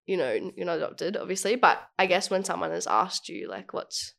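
A woman's voice: on the low side, fairly quick, gravelly, fairly bright tone. A young woman in casual chat, talking in a chatty way with lots of fillers and frequent laughs.

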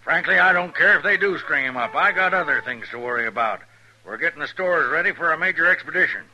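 A hard American cavalry colonel in an old radio western. He is curt and official, with a highish, piercingly forceful voice. The recording is a little dull and lo-fi. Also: animated, fast, exaggerated voice. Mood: cold, dismissive. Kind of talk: 1950s radio western acting.

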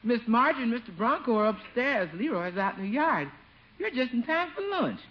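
High, swooping, fussing household cook. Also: female voice, very animated, moderate pace. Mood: concerned. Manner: exclamatory, folksy calling out. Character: loud, motherly, fussing female household cook. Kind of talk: 1950s radio sitcom acting. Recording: dull old radio recording.

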